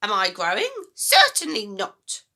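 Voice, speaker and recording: A woman's voice: medium pitch, wildly swooping pitch, a little slow, sharp tone, heavily acted. A woman voicing a grumpy, selfish old grandmother in a children's storybook, scolding and snapping. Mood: snappy, contemptuous.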